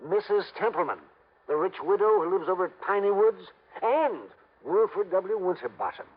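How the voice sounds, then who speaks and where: An actor playing an eccentric, nervous, wealthy old man in a radio drama, fretful and halting, repeating himself. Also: sits high, lively intonation. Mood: anxious, eager. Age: older. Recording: dull, noisy vintage radio recording.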